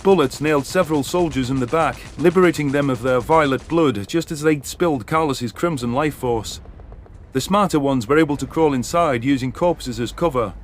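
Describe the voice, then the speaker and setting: A man narrating a dramatic first-person science fiction story as an audiobook. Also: medium pitch.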